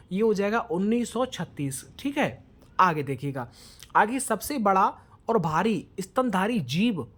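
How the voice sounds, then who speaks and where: A man's voice: sits high, fairly bright tone. A man teaching an exam-prep lesson in quiz style, prompting listeners with questions and mixing in English words.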